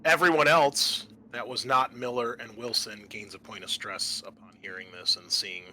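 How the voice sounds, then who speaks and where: A man running a tabletop roleplaying game as game master, narrating informally and haltingly as he improvises the scene. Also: medium pitch, fairly even intonation, pushed and bright, slight background noise.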